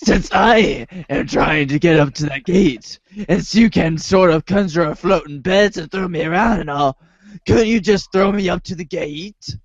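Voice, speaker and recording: A woman playing a naive, casual teenage boy hero in amateur reader's theater, hesitant and deadpan. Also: on the low side, a little slow, no echo. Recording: dry, dull lo-fi recording.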